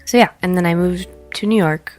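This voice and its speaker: A woman's voice: lowish voice, a little fast, a little mellow, soft background music. A woman from Israel chatting casually and playfully as an interview guest, informal and a little self-deprecating. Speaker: interview guest, jazz bassist. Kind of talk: casual chat.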